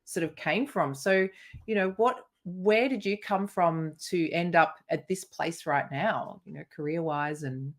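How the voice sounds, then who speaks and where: A woman's voice: lowish voice, unhurried. A woman with a strong Australian accent, co-hosting a podcast and chatting in an easygoing way. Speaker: podcast co-host. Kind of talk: casual conversational podcast chat.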